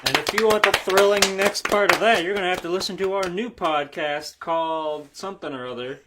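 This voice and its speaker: A man co-hosting a comedy podcast with casual, irreverent, sweary banter, excitable and halting. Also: high-pitched, noisy background. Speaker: podcast co-host.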